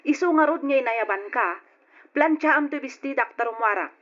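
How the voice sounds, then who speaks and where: A woman's voice: high voice, animated, normal speed, a bit bright. A woman voicing a bossy older woman who orders the maid around, in a radio drama. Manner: bossy and commanding. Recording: dull lo-fi audio.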